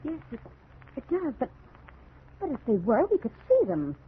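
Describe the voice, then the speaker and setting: A woman's voice: high voice, wildly swooping pitch, moderate pace, narrow, tinny audio. An actress playing a concerned, reproachful wife who stands her ground in an old-time radio drama, earnest and dramatic, on a thin, noisy vintage recording. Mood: uneasy.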